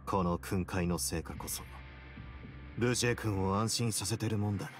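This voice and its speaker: An actor playing a composed, shrewd duke in a game, speaking in a cool, measured, authoritative way. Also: male voice, fairly low pitch, fairly even intonation, faint music behind, lightly acted. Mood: grim. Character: composed, shrewd male duke in command of a fortress. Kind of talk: Japanese game voice acting.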